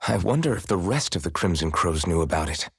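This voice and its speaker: A man's voice: sits low, animated, brisk, creaky, husky, no echo, studio quality, heavily acted. An actor playing an earnest, protective young rebel swordsman in a video game drama. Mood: sober, determined.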